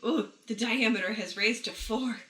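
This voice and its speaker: A woman's voice: on the high side, normal speed, sharp tone. Woman playing Questo, a flustered, stammering scientist. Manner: stammering, gasping, indignant. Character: Questo, flustered, defensive pompous male scientist. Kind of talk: improvised comedic radio play. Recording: roomy, echoey recording.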